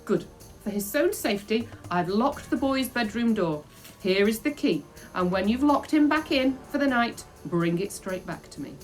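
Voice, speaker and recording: A woman's voice: on the high side, lively intonation, moderate pace, soft background music, pitched above the performer's own voice, natural voice. Lady doing a pompous lord villain guy from a kids book, bossy n suspicious, big swooping emphasis. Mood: commanding. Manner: pompous, imperious. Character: stern, suspicious, commanding male Lord Protector, a palace villain.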